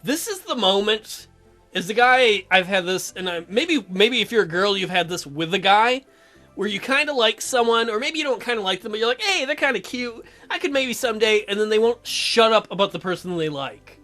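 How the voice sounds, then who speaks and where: Male gaming host, lively intonation, sarcastic asides, joking commentary, faint music behind. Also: high-pitched, normal speed. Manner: wisecracking, sarcastic. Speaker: let's play host.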